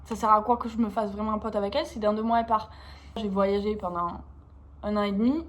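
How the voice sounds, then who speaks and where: A young woman with an accent from France, vlogging in an informal, slangy, expressive way, confiding casually in her viewers. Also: medium pitch, fast. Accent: French from France. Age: young adult.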